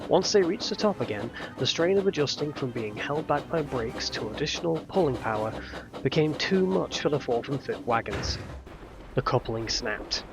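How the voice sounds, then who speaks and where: A man narrating a scripted storybook tale in measured phrases with dramatic emphasis. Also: medium pitch, expressive, vocal fry.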